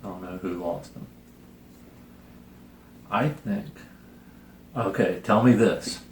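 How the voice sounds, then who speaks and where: Slow-talking, low-voiced man in a roomy space. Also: darkish tone. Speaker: host reading and reviewing a children's picture book. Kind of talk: casual storybook reading and review chat. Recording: roomy echo, noticeable background hiss.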